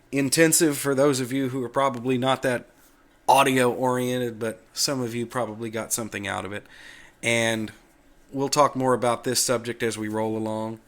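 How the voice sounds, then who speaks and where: Male tutorial host, medium pitch, folksy and plain-spoken, casual unscripted explaining. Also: a bit bright. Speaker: host of a Linux tutorial video. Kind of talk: casual screencast talk, explaining off the cuff.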